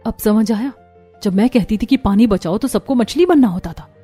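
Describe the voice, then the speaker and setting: A woman's voice: fairly high pitch, expressive, moderate pace, warm tone, husky, soft background music. A woman voicing an overworked, complaining young daughter-in-law in a cartoon story. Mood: scolding. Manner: complaining, sarcastic. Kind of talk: Hindi cartoon story acting.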